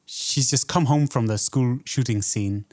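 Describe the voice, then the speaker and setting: A man's voice: mid-range voice, measured pace, a little mellow. Man casually discussing film, thoughtful and hesitant. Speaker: film podcast co-host.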